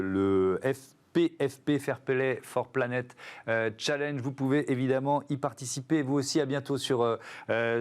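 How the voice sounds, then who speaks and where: A man hosting a television interview show, talking conversationally with frequent restarts, recorded in a TV studio. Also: medium pitch. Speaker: TV show host. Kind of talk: interviewing guests on air.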